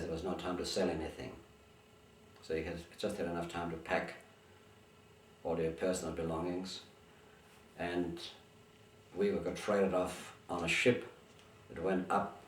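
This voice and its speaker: An older man with a very deep voice, born in Bulgaria and raised first on Bulgarian, tells his family history in halting, restarting sentences. He sits some distance from the mic in an echoey room, with a faint hiss underneath. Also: darkish tone. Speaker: interviewee. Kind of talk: recalling memories in an interview.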